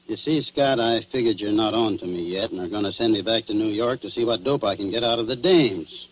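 Low-voiced crooked cop, played by a man, old radio drama. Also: brisk, natural voice. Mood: bitter, rattled. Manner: slangy tough-guy talk. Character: crooked male cop confessing to murder, slangy, cynical. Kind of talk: 1940s radio drama acting. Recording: noisy, humming 1940s radio recording.

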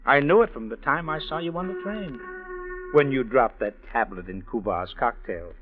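A man's voice: mid-range voice, expressive, normal speed, close to an everyday voice. Cocky male schemer played by an actor, insinuating and wisecracking, swinging delivery, vintage radio drama over a phone-thin signal. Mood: smug, insinuating. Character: smooth, cocky schemer muscling in on a woman. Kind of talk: old-time radio drama acting. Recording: tinny, narrow-band vintage radio with music.

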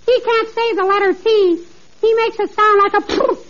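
A woman's voice: very high-pitched, fairly even intonation, moderate pace. A tiny earnest little boy. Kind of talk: 1940s radio comedy acting. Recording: muffled old radio broadcast with hiss.

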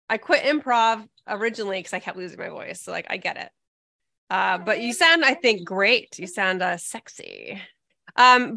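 A woman hosting a casual podcast with a slightly high, bright voice, quick to laugh and to sympathize. The recording is dry and close, with no room sound. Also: no room sound. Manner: warm, giggly, empathetic. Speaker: podcast host. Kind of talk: casual chat.

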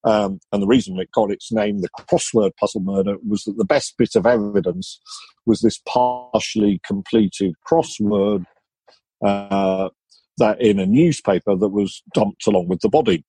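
A man's voice: on the low side, steady tone, moderate pace, dark tone, breathy edge, no room sound, soft background music, rough recording. A male author explaining a case in an interview, hesitant and full of fillers. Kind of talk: conversational explanation.

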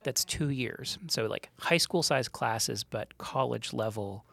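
A man's voice: mid-range voice, slow pace, vocal fry, fairly bright tone, no room sound. A middle-aged man from Montreal, a startup co-founder and podcast guest, telling rambling, joking stories in a casual interview. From Montreal, Canada.

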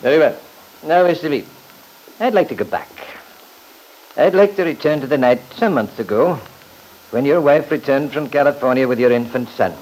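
A man playing an assertive, formal lawyer in an old radio drama, speaking with deliberate emphasis. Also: mid-range voice, lively intonation, darkish tone, close to an everyday voice. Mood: formal, pressing. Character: assertive, formal male lawyer defending his client. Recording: noisy old radio recording.

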